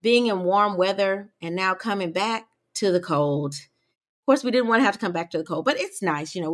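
A woman in her forties co-hosting a podcast, chatting emphatically and talking straight to her listeners. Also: medium pitch. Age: 40s. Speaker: podcast co-host. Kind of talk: casual chat.

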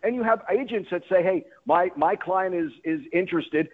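A man with a fairly high, rough-edged voice gives emphatic sports talk as a radio guest. He circles back and rephrases his points as he goes. The sound is a little dull and lo-fi. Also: normal speed. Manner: emphatic, repeats and rephrases himself.

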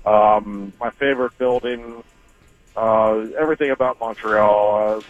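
A man talking hockey as a radio guest, chatty and relaxed, with lots of fillers. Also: lowish voice, unhurried, no echo, soft background music. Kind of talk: casual radio interview by phone. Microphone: phone. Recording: tinny phone line.

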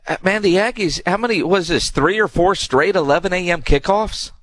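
A man's voice: high-pitched, normal speed, fairly bright tone, no echo. A man hosting a live sports talk radio show, interviewing a guest in a conversational way. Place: broadcast booth at a stadium.

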